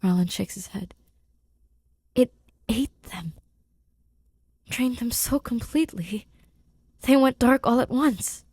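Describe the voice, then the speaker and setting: A woman reading fiction as an audiobook narrator, in a hushed, deliberate and dramatic manner. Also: fairly high pitch, breathy edge, no echo. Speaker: fan fiction podfic reader.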